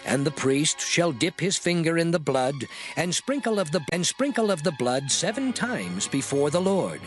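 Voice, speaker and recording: An actor playing a solemn lawgiving voice in a dramatized Bible reading, declaiming scripture. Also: male voice, a little high. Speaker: scripture reader. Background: music under the voice.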